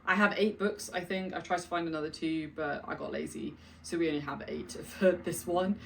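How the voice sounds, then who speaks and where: Book vlogger, woman, low voice, chatty, reads passages aloud, a little off-mic. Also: steady tone, brisk, vocal fry, far from the mic, slight background noise. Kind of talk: casual chat with read-aloud passages.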